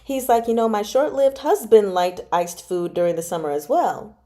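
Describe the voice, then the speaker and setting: A woman with a soft-edged, mellow voice retells a story as if chatting with friends. Her delivery is animated and informal, with slangy asides and a giggly, digressive manner. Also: mid-range voice. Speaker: book club vlogger. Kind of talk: casual chat retelling a novel.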